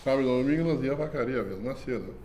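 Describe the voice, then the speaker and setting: Older man, folksy rambling storyteller, mid-pitched voice, faint background noise. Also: rapid-fire. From southern Brazil. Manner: folksy and rambling, constant "né?" tags and soft chuckles. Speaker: company founder being interviewed. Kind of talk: casual reminiscing interview.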